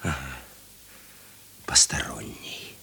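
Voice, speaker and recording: An actor playing a defiant, bitter criminal in a radio drama, insolent and theatrical, with a raspy, gravelly voice. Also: male voice, very deep voice, slow, sharp tone, whispered, heavily acted. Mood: subdued, bitter. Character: defiant, bitter male criminal lying in hospital.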